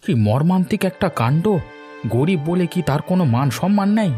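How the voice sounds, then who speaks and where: A man's voice: sits high, expressive, brisk, dark tone, soft background music. A man voicing a righteous, hot-headed older village man who scolds indignantly in a cartoon. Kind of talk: cartoon dialogue acting.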